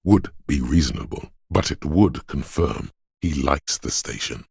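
A man playing the cool, analytical inner voice of logic in a video game, speaking in a measured, dryly superior way with a gravelly, husky tone. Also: a bass voice, lively intonation, darkish tone, no echo, clean studio sound, exaggerated voice. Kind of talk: dramatic video game narration.

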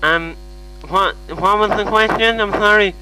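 A man's voice: high voice, unhurried, sharp tone, slight background noise, full character voice. A man with an Irish accent voicing a confused, apologetic, hard-of-hearing middle-aged caller, hesitant and repeating himself in a prank phone call. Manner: muddled, hesitant, repetitive. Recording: humming phone call recording.

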